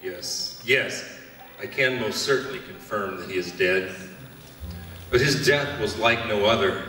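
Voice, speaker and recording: Male actor as haunted Roman guard, church drama, forceful and earnest, roomy sound with faint music. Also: fairly low pitch. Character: shaken, remorseful Roman guard officer. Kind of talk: church stage Easter drama monologue. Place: church hall stage performance. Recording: echoey hall, distant mic, faint music.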